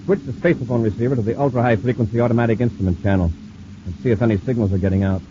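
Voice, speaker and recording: An actor playing a steady space patrol commander, giving brisk orders in a 1950s radio drama. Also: male voice, medium pitch, natural voice. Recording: muffled old radio recording with faint hiss.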